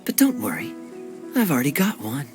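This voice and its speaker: An actor playing a suave, teasing young master thief in a video game, speaking with playful smoothness. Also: male voice, mid-range voice, huge pitch swings, a little fast, over loud music. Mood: smug. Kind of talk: video game cutscene dialogue.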